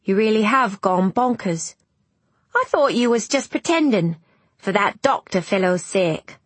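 A woman voicing an excitable working-class boy in an audiobook, blunt and emphatic, with colloquial speech. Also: medium pitch, a little slow, slightly rough, no room sound, voice pushed up. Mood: incredulous. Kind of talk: audiobook character dialogue.

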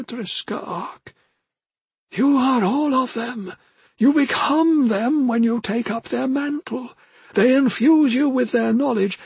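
A man voicing a solemn old ghostly mentor in an audiobook, with a thin, strained voice and slow, formal, drawn-out phrasing. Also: extremely high pitch, vocal fry, darkish tone, husky, no room sound, voice pushed up, exaggerated voice. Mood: solemn, intense. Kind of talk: audiobook character acting.

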